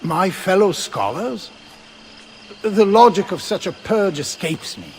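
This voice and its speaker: A man's voice: high voice, very animated, slow pace, slight background noise, exaggerated voice. A man voicing an earnest, philosophical Renaissance astronomer who lectures formally in a video game. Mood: wary, puzzled. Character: earnest, questioning Renaissance astronomer, male Prussian scholar.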